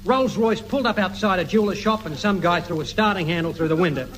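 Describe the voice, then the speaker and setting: An actor playing a naive, amiable, slow-witted man in a 1950s British radio comedy, innocent and obliging. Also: high voice, a bit bright. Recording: noisy vintage radio recording.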